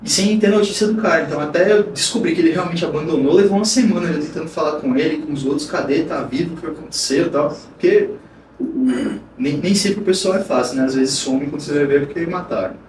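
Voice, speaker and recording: A man from Bahia, Brazil, talking as a podcast guest and recounting events in a frank, rambling way full of restarts. His voice sits at a middle pitch. It was recorded from a distance in a roomy space with clear noise underneath. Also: fast talker, darkish tone. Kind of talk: casual interview storytelling. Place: podcast studio. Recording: roomy, distant mic, noisy.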